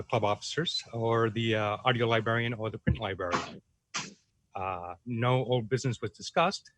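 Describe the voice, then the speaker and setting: A man reading out minutes as a club secretary on a video call, in a halting manner. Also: mid-range voice, fairly bright tone, dry recording, rough recording. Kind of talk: reading minutes at an online meeting.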